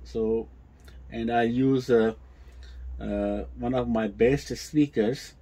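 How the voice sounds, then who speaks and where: A man with a non-native English accent giving a casual, unscripted demonstration in a halting, hesitant way with repeated words. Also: mid-range voice, fairly even intonation, dark tone. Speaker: presenter of vintage audio equipment videos.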